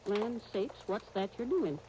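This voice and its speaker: An actress playing a folksy, bossy older dressmaker, rambling through stories briskly in a 1930s radio advertising skit. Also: female voice, mid-range voice, animated, dark tone, lo-fi sound, natural voice. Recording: hissy 1939 radio disc recording.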